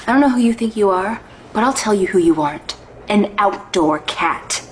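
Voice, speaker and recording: A woman's voice: medium pitch, a little hiss or hum. A woman voicing a cat owner who lectures her pet with sarcastic, overdramatic scolding.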